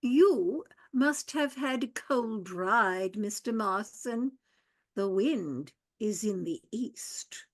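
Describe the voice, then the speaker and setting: Beach, a gravelly, ponderous butler. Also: female voice, mid-range voice, expressive, very slow, heavily acted. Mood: solemn. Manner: ponderous, solemn, complaining about ailments. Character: pompous slow middle-aged male butler. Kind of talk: radio play acting.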